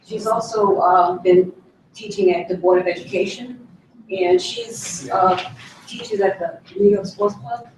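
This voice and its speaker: A woman's voice: medium pitch, animated, unhurried, a little mellow, a little hiss or hum. A woman speaking for her daughter at a meeting, eagerly listing her accomplishments. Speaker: parent. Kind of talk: casual group introduction. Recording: echoey room, far camera mic.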